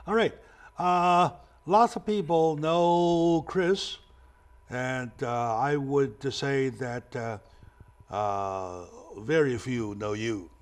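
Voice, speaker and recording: Slow-talking man hosting an interview. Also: mid-range voice, clean tone. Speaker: talk show host.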